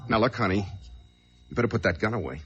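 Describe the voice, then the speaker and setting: An actor playing a nervous, evasive truck driver in an old-time radio drama, pleading in shifty, slangy talk. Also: male voice, fairly low pitch, animated, quick pace, fairly bright tone, soft background music. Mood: desperate, pleading. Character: nervous, evasive truck driver cornered by a gun, narrating his tale.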